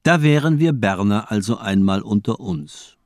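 An actor in a radio crime drama playing a cold, dapper villainous doctor, calm, superior and ironically polite. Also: male voice, lowish voice, moderate pace, no echo, clean studio sound, close to an everyday voice. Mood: smug calm.

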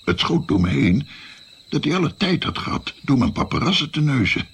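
An actor playing an old grandfather who reminisces haltingly as he tells his adventure in a radio play. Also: medium pitch, big pitch swings, fairly bright tone, slight background noise. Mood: reminiscing. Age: older. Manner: rambling, halting, hems as he recalls.

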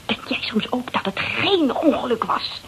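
A woman's voice: fairly high pitch, measured pace, a little hiss or hum, low-quality recording. A woman playing a brash, bored, flippant housewife in a Dutch radio drama, slangy and gossipy with a Netherlands accent. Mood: amused excitement. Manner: slangy, gossipy, theatrically expressive.

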